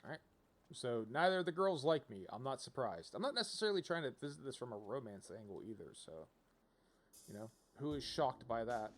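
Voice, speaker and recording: A man's voice: medium pitch, very animated, fairly quick. A man giving casual livestream game commentary, rambling as he thinks aloud. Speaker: game streamer.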